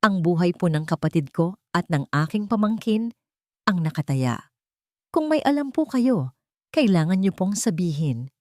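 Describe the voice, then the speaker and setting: A woman's voice: lowish voice, very animated, moderate pace, dry recording. Woman acting male lawyer, Filipino accent, earnest, insistent, protective, audio drama, dry recording. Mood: urgent insistent.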